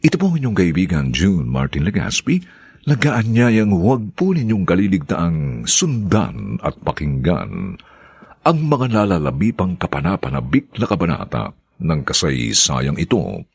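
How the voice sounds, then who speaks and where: A man's voice: low voice, big pitch swings, measured pace, gravelly, slightly rough, no room sound. A man with a Filipino accent narrating a radio drama in a solemn, declamatory, theatrical announcer's style.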